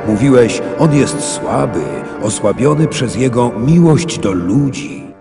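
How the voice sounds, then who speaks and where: A man's voice: mid-range voice, expressive, slow pace, creaky. A man playing a stern, commanding god passing judgement in a dramatic game cutscene, speaking solemnly. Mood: stern, scornful. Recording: loud music under the voice.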